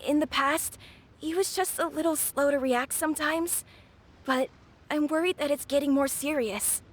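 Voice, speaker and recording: A woman's voice: high voice, steady tone, normal speed, slight background noise, lightly acted. Woman doing a worried young boy. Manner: earnest, worried. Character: young boy searching for his lost father. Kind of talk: game voice acting.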